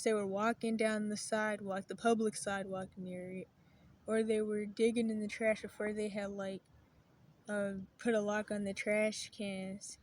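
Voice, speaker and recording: A woman telling a story to camera in a casual, rambling way, often correcting herself and keeping her voice low. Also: a little hiss or hum. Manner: rambling, self-correcting, quiet and hushed at times. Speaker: vlogger.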